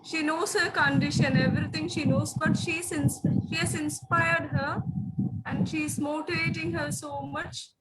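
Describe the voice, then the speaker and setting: A woman joining an online talk, earnest and a little halting. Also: high voice, steady tone. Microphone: video call. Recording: noisy call line with clicks.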